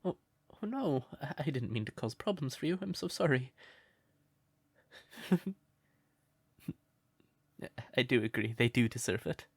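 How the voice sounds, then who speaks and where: A man playing a shy, sweet, curious crow turned human in a roleplay audio, speaking gently and breathily with hesitant stammers. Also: medium pitch, lively intonation, moderate pace, husky, dry recording. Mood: apologetic. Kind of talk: soft roleplay audio, intimate character acting.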